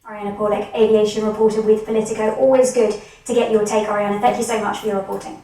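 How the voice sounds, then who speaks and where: A woman's voice: mid-range voice, flat delivery, quick pace, mellow. A woman anchoring a news broadcast in a formal, polished manner, reading scripted news and conducting interviews. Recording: very echoey, distant from the mic.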